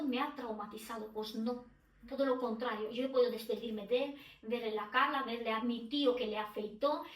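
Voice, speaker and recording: A woman's voice: a little high, fairly bright tone. A middle-aged woman from Romania, a guest in a podcast conversation, talking in a reflective way with many restarts and fillers. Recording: echoey room, distant mic.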